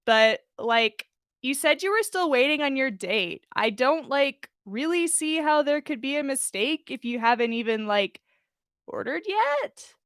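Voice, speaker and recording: A woman puts on a young, gum-chewing waitress in a story reading. She sounds bored and unbothered. Her slacker phrases come haltingly, padded with filler, in a feminine voice. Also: high voice, animated, fairly bright tone, no room sound, clean studio sound. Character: bored, slouchy young female tea-shop server, gum-chewing delinquent.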